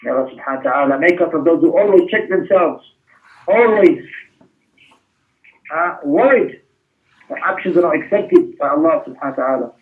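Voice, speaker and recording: A man's voice: on the high side, animated, fairly quick, long pauses. A man teaching an Islamic lesson in an emphatic, exhortatory manner, mixing explanation with recitation, on a muffled recording. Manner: emphatic, exhortatory, with rhetorical questions. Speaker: religious teacher. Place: mosque class.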